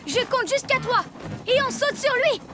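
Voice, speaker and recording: A woman plays a level-headed young superhero boy with a very high child's voice. He reassures his friends and lays out the team's plan. Loud cartoon music runs under his lines. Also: expressive, normal speed. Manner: reassuring, organizing. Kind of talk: French cartoon dubbing.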